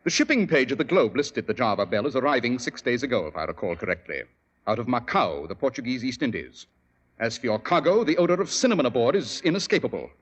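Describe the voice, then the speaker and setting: An actor playing a self-assured, analytical detective, speaking precisely and formally in an old radio drama. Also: male voice, medium pitch, big pitch swings, fast. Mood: composed, incisive. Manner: precise, clipped, formal deduction. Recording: dull, band-limited old radio recording.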